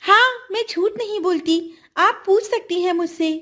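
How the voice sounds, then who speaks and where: A woman voicing a kind, honest magical female golden fish in a children's story, speaking gently, earnestly and politely. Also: very high voice, measured pace, pitched above the performer's own voice. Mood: sincere.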